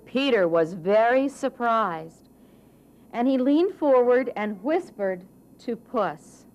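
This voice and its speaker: A woman reads a children's fairy tale as its narrator. Her voice is on the high side and moves up and down with great animation. The phrasing is expressive and deliberate, in a storybook style. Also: muffled recording.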